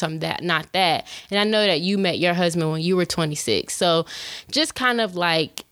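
A woman in her twenties hosting a show, talking in a chatty, animated way and calling her listeners y'all. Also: fairly low pitch, clean tone, no room sound, clean studio sound. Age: 20s. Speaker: podcast host. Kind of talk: casual chat.

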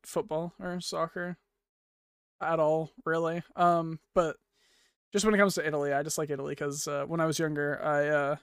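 A man's voice: on the high side, level tone, moderate pace, a bit bright, clean tone, no room sound, clean studio sound. A young man co-hosting a casual podcast, telling stories in a chatty, laughing way. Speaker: podcast co-host. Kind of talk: casual chat.

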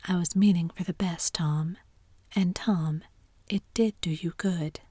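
A woman's voice: lowish voice, fairly even intonation, unhurried, warm tone, husky, no echo. A woman whispering as a stern old aunt. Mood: softened. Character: stern but soft-hearted old aunt.

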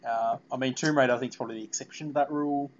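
A man hosting a casual podcast interview, talking in a halting, meandering way. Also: medium pitch, fairly even intonation. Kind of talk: casual fan interview chat. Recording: dull, lo-fi podcast audio.

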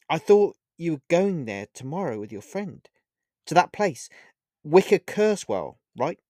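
A man voicing a centuries-old male vampire husband who talks in a flippant, coaxing, lively way. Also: a little high, no echo, clean studio sound, voice pushed up. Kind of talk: storybook character voice.

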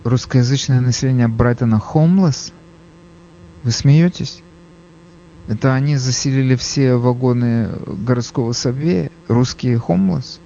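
A male radio host speaking at a mid-range pitch, firm and brisk as he takes calls and redirects them. The sound is dull and lo-fi, with a faint hiss underneath. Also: gravelly, mellow. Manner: brisk, cuts callers off firmly. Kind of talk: call-in radio talk.

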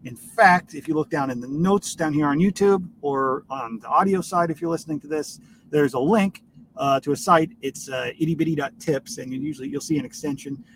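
A man's voice: on the high side, a little fast. A man hosting a solo podcast in a casual, rambling style, speaking informally and swearing freely. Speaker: podcast host. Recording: noisy, distant microphone.

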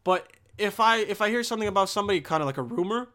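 Young bosnian-born guy, casual rant, lots of swearing and restarts, kinda high voice. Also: expressive, racing, bright, forceful tone. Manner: profane, restarts sentences, slangy. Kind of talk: casual rambling monologue.

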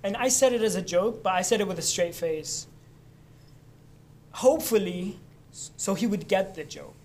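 A man's voice: high voice, normal speed, darkish tone, slight background noise. A young man from Lebanon chatting casually, telling stories in a loose, profane way. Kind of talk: casual chat.

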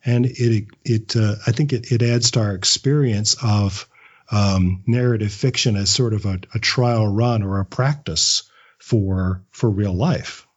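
A man's voice: fairly low pitch, measured pace, slightly rough, lo-fi sound. A man giving casual, reflective interview answers as a guest writer, often repeating words as he thinks. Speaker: podcast guest, audio drama writer; script writing teacher.

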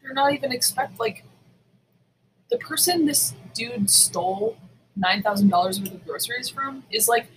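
A woman's voice: fairly high pitch, expressive, normal speed, slight background noise, poor audio. A woman co-hosting a casual chat, speaking emphatically and indignantly, swearing freely and repeating words for stress. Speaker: podcast co-host.

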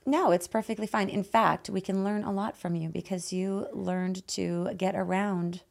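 A woman with a raspy, hoarse voice hosting a conversational podcast in a playful, teasing manner. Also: medium pitch, fairly even intonation, moderate pace. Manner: playful, teasing, laughs often. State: voice hoarse after losing it. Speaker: host and guide.

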